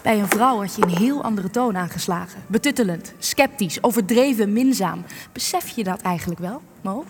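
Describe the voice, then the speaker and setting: An actress in a Dutch radio play, playing a sharp, cynical researcher who is sardonic, wordy and needling. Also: female voice, mid-range voice, expressive, normal speed, a little hiss or hum, lightly acted. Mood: taunting. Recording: long reverb, music underneath.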